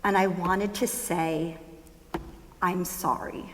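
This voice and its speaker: A woman's voice: fairly low pitch, steady tone, a little hiss or hum. A woman playing an affectionate, wry ageing mother in a musical theatre staged reading. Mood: tender, reflective. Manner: wry, fond, unhurried. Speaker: stage actress. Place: school auditorium stage. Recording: echoey hall with long reverb.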